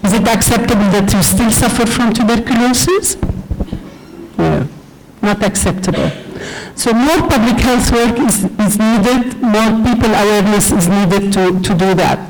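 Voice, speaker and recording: High-voiced man lecturing emphatically. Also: moderate pace, noisy background. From Lebanon. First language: Arabic. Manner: emphatic, poses rhetorical questions and answers them. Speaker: guest lecturer on public health. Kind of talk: university lecture to students.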